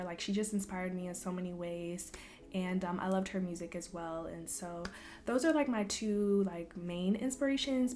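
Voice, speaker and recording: A young woman from Louisville, Kentucky, chatting casually in a Q&A vlog, soft-spoken and relaxed with frequent ums. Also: on the low side. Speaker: vlogger. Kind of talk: casual Q&A chat.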